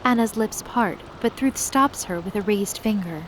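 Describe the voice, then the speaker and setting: A woman's voice: medium pitch, moderate pace, noisy background, close to an everyday voice. A woman delivering dramatic scripted narration as the narrator of an audio drama. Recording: loud dramatic music behind the voice.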